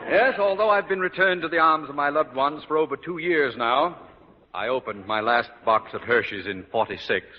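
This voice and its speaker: An actor playing a pompous, blustery middle-aged school principal and former army major in a vintage radio comedy. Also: male voice, on the high side, lightly acted. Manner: pompous, stuffy, hemming. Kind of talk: 1940s radio sitcom acting. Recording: noisy, dull, echoing vintage radio recording.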